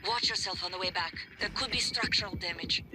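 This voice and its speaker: A high-pitched, sardonic chief engineer played by a man in a sci-fi game. The delivery is curt, wary and commanding, with music clearly behind it. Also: fast talker, lightly acted, rough recording. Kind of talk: video game voice acting.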